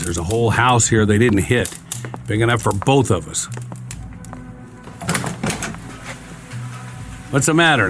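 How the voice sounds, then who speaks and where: An actor playing a gruff, resourceful, lonely war survivor, muttering to himself in a wry, folksy radio drama manner. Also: male voice, mid-range voice, natural voice. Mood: exasperated, calling out. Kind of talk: dramatic radio play acting, soliloquy.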